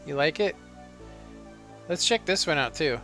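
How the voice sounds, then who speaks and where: A man in his thirties, a live streamer chatting casually and rambling with lots of fillers in a monotone way. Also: fairly high pitch, a little slow. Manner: relaxed, rambling, many fillers. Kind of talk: casual live-stream chat while playing. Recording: faint background music.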